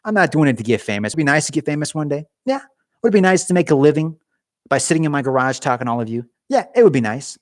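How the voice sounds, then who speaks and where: A man's voice: fairly high pitch, lively intonation, fast, no room sound, studio quality. A young man chatting casually on a live stream from his garage, rambling and joking with viewers, his voice getting worn. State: losing his voice.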